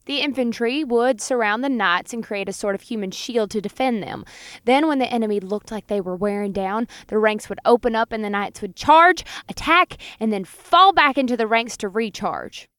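A man's voice: high-pitched, moderate pace, sharp tone, smooth, no echo, pitched above the performer's own voice. A man voicing a cheerful female succubus, eager and chatty as she explains things. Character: cheerful red-headed female succubus cook.

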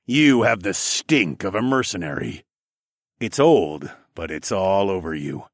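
A stern wolfman chief, as a male audiobook narrator plays him, speaks slowly in a low growl. He is cold, placid and commanding, and the sound is close and dry. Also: sing-song, no echo, exaggerated voice. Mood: cold, threatening. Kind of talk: audiobook character voice.

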